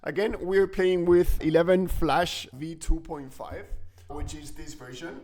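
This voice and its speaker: Higher-pitched man giving a casual tutorial. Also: fairly even intonation, a little slow, a little mellow. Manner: informal, explanatory, thinking aloud. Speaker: tutorial presenter. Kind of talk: casual software walkthrough.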